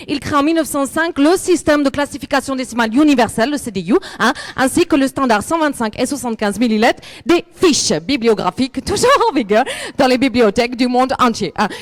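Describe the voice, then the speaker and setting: Brief: woman as a pompous female book observer, halting and filler-heavy, higher voice, live in an echoey hall. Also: lightly acted. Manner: hesitant, full of fillers and restarts. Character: rambling self-important female international book observer. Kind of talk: live comic sketch. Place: large hall at a library event.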